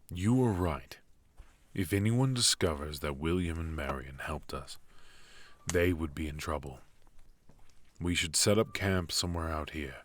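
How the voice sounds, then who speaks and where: A man playing a brooding, protective knight in an intimate ASMR roleplay, speaking in a halting, earnest, hushed way. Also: very deep voice, no room sound. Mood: weary, resolved. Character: brooding, protective male knight devoted to an outlawed royal. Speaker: voice actor.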